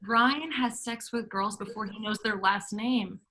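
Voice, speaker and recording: A woman's voice: medium pitch, measured pace, sharp tone, slight background noise. A woman reading the part of a frank, frustrated teenage girl in a script table reading. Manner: frank, exasperated, confessional. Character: blunt, frustrated teenage girl, high school junior. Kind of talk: table-read script acting.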